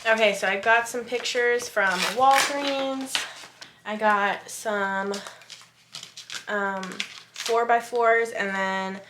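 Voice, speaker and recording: A woman in her late twenties talking casually to her viewers in a relaxed, chatty vlog from her home office. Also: on the high side, slow pace, roomy sound, noisy background. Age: 20s, about to turn 30. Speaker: vlogger.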